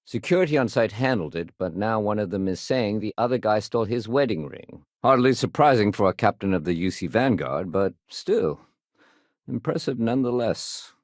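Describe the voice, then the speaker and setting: A man playing a dutiful, wry, level-headed space-colony security sergeant in a video game, with measured, conversational authority. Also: fairly low pitch, animated, no room sound, clean studio sound, close to an everyday voice. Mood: measured, matter-of-fact. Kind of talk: video game character dialogue.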